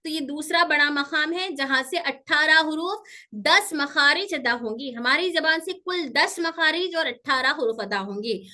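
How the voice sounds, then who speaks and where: A woman teaches an online pronunciation class in a high, bright voice. She goes step by step, repeats key terms, asks her own questions and answers them. English terms slip into her teaching. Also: unhurried.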